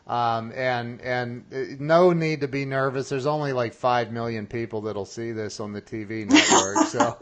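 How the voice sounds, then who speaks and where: A man's voice: mid-range voice, unhurried, a bit bright. A man hosting a podcast, talking casually with many restarts and chuckling at his own jokes. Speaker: podcast host. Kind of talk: casual interview chat.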